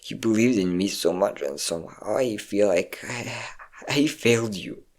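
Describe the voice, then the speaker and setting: A low-pitched young adult played by a performer as a depressive best friend in an audio roleplay. The delivery is halting and confiding, full of insecurity and emotion, as if speaking privately to someone deeply trusted. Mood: tearful, pleading. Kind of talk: intimate roleplay audio drama monologue.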